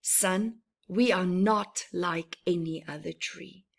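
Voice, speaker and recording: A woman voicing a proud, generous father tree in a children's storybook, speaking earnestly and deliberately. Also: on the low side, creaky, heavily acted. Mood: proud, fatherly.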